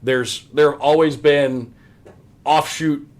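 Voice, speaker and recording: A man's voice: a little high, steady tone, slow. An American man in his thirties chatting casually and enthusiastically as a podcast guest about his hobby. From US Midwest. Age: 30s. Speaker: podcast guest, sports radio play-by-play announcer.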